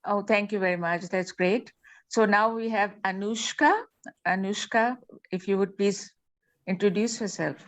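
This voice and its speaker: A woman hosts an online meeting on a video call. She is polite and a little halting as she hands over to participants, in a medium-pitched voice. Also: steady tone. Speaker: meeting host.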